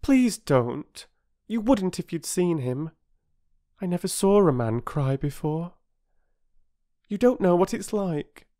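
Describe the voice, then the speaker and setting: A man reading aloud and voicing an earnest, kind young girl with a higher, breathless delivery. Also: sing-song, takes it slowly, long pauses, mellow, exaggerated voice. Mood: pleading. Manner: pleading, breathless.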